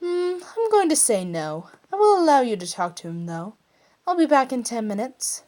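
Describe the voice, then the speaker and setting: A woman voicing a smug, teasing male villain, an alien prince, in a drawn-out, taunting manner for a story reading. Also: high voice, sing-song, darkish tone, voice pushed up.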